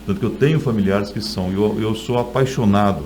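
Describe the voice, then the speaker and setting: A man's voice: fairly low pitch, a little fast, darkish tone, slight background noise. A middle-aged man with a Brazilian accent, an author telling stories in a conversational interview.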